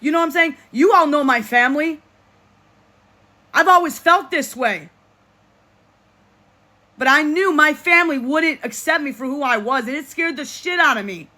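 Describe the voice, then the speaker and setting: A person in their thirties vlogs to camera with a forceful, bright-edged voice that they describe as deep. They are emphatic and repeat themselves, circling back and restarting phrases as they press a point. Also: normal speed, long pauses. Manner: speaks in a self-described deep voice. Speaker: vlogger speaking to supporters. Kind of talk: casual monologue to camera.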